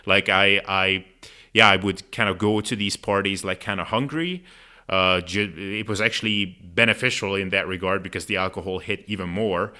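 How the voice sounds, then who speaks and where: Bright-voiced man hosting a podcast. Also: deep voice, fairly even intonation, moderate pace. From Hungary. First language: Hungarian. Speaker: podcast host. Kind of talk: casual conversational interview.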